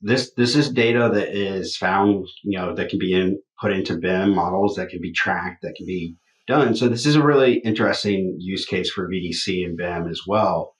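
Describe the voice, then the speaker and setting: A loud-voiced man co-hosting a podcast in casual chat. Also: deep voice, normal speed, roomy sound, low-quality recording. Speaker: podcast co-host.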